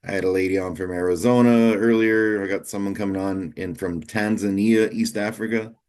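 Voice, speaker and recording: A man's voice: on the low side, steady tone, moderate pace, darkish tone. A man in his forties hosting a podcast, talking in an easygoing, candid way. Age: 40s. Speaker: podcast host. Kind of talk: casual interview chat.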